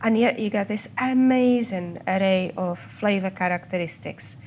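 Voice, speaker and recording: A woman from the Czech Republic with a Czech accent and a slight Scottish tinge, guiding a tour of a whisky collection and explaining it patiently, with small pauses. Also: mid-range voice, a little mellow, a little hiss or hum. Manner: fluent, with filler pauses and slow stressed words. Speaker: whisky guide. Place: whisky collection room, indoors.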